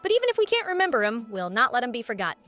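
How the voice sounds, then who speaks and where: An actress playing a brisk, plain-spoken innkeeper with a British accent and a frank, chatty manner in a video game. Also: female voice, on the high side, huge pitch swings, a bit bright, faint music behind. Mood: frank, rueful. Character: brisk, plain-spoken woman innkeeper running an adventurers' guild. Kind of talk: scripted video game cutscene acting.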